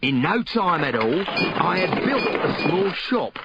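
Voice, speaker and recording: A man narrating a rhyming storybook in a dramatic, expressive, theatrical way. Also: fairly high pitch, takes it slowly, heavy background noise. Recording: dull lo-fi recording with loud music.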